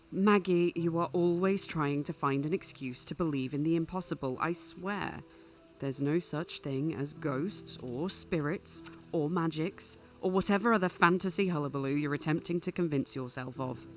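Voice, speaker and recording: A man's voice: a little high, moderate pace, natural voice. An actor playing a stern, sceptical young male student in an audio drama, prim and wordy. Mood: exasperated, scolding. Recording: music under the voice.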